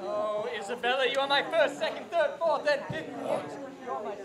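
A woman's voice: high-pitched, brisk, close to an everyday voice. A woman playing a lovesick young male poet, declaiming in florid, overblown phrases. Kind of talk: outdoor commedia dell'arte street show. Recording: crowd noise outdoors.

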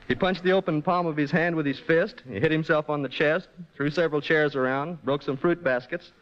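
A man's voice: on the high side, brisk, a bit bright. A man giving scripted explanations as a guest expert on a 1940s radio show. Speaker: sound effects department head, guest explainer. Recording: dull, noisy old radio recording.

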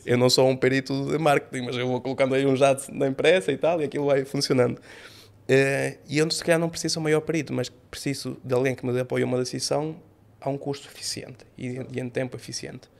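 A man in his thirties, not a native Portuguese speaker, explaining ideas in an animated podcast interview. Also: medium pitch, fast, creaky. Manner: animated, gestures with both hands while explaining. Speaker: AI company CEO guest. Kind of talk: conversational interview answers. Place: podcast studio room with sofas. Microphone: studio mic on boom arm.

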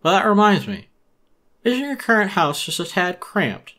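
A man's voice: high-pitched, very animated, normal speed, pushed and bright, pitched above the performer's own voice, exaggerated voice. A man voicing a pushy, falsely friendly raccoon shopkeeper in a dramatic reading, wheedling and unctuous. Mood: smarmy, welcoming. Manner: unctuous, wheedling, tacked-on questioning tags. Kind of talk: dramatic storybook reading of a video game character.

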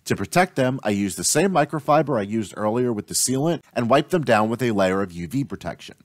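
A young adult man giving a steady, scripted tutorial voice-over as the host of an outdoors channel. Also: mid-range voice, brisk, dry recording, clean studio sound. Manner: steady instructional delivery.

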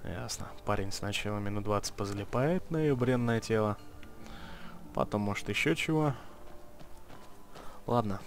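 A man's voice: lowish voice, normal speed, pauses often, a little hiss or hum. A man giving casual gameplay commentary, muttering item names and making dry, sarcastic asides. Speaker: let's play host. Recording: voice over game music.

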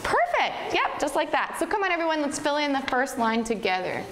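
A woman's voice: sits high, sing-song, brisk, a crowd in the background. A woman in her twenties from the United States teaching English to young children, patient and encouraging, often praising. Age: 20s. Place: classroom in front of a whiteboard. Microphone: far camera. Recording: echoey room with long reverb and noise.

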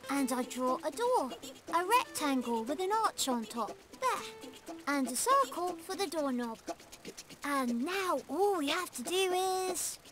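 A young cartoon rabbit boy, speaks in a very high, lively voice. He explains things patiently, step by step, like a gentle teacher. Cheerful music plays underneath him. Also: a little slow. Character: young male rabbit, calm and helpful teacher. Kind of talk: children's cartoon voice acting.

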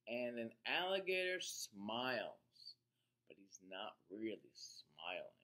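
Male teacher, middle-aged, children's read-aloud. Very unhurried delivery, animated pitch swings, questions to the listeners. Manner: deliberate, expressive, pausing to question listeners. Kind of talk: picture-book read-aloud for young children.

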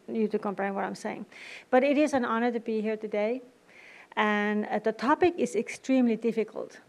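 A middle-aged Finnish woman lectures from a podium in a large auditorium, her voice sitting a little high. Her Finnish accent colours her English, and she keeps the talk conversational with wry little asides. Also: darkish tone. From Finland. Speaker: guest lecturer. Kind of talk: university lecture. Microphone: podium microphone.

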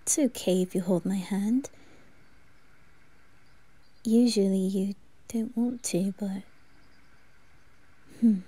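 A woman voicing a teasing, tender young male aristocrat in an ASMR roleplay, with breathy asides and soft giggles. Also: medium pitch, fairly even intonation, slow, long pauses, no room sound. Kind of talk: ASMR roleplay addressed to the listener.

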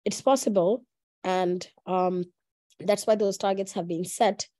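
Young woman on an online panel, soft mellow tone, talking earnestly about her work. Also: mid-range voice, fairly even intonation, unhurried. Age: young adult. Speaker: youth climate advocate, panel speaker. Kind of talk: earnest panel remarks on a video call. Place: online video call.

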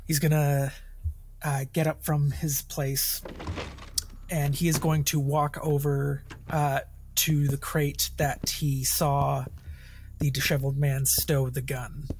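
A man's voice: fairly high pitch, little pitch movement, takes it slowly, darkish tone, slight background noise. A man playing a tabletop role-playing game, casually describing his character's actions in the third person.

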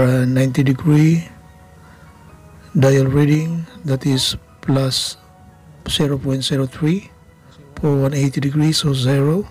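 The voice-over of a machine shop tutorial video: a man counting out each step in halting phrases, music playing behind him. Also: mid-range voice, darkish tone. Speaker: machining instructor. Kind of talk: step-by-step instructional narration. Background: background music.

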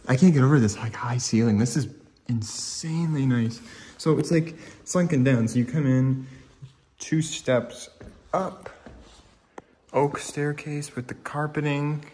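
Male explorer-vlogger, medium pitch, informal and impressed, slight cold, faintly noisy handheld sound indoors. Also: a little slow, dark tone. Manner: informal, awed, mildly sweary. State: getting over a cold with a lingering cough. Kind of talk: casual walkthrough commentary. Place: inside empty rooms of a large abandoned house.

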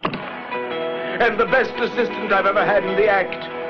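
High-voiced, melodramatic jealous lover. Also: male voice, fairly even intonation, unhurried, over music. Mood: jealous, dramatic. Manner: florid, theatrical, impassioned declaiming. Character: jealous, melodramatic middle-aged male knife-thrower, passionately lovesick. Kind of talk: 1960s cartoon voice acting. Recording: dull lo-fi old VHS cartoon soundtrack.

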